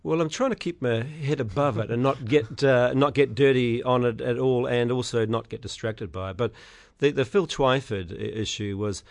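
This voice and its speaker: A middle-aged man with a New Zealand accent, answering radio interview questions as an election candidate, halting with many fillers and restarts. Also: mid-range voice.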